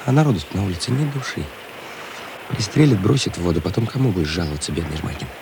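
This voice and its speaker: An actor playing a hungry, suspicious petty pickpocket in a radio play, speaking in short colloquial replies. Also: male voice, sits low, expressive, quick pace. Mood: anxious. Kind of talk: Russian radio play acting. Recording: clear ambient noise behind.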